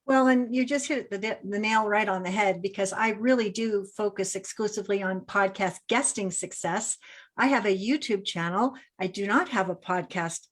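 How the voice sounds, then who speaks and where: A woman's voice: medium pitch, moderate pace. A middle-aged woman hosting a podcast, telling stories with enthusiasm and acting out quotes. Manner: enthusiastic storyteller, quotes others in lively voices. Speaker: podcast host. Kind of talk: casual interview chat.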